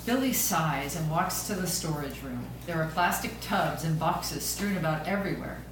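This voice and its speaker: A woman's voice: sits low, expressive, moderate pace. A woman reading scripted stage narration at an amateur table read. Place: echoey room, recorded from a distance. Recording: very echoey room, distant mic, clear noise.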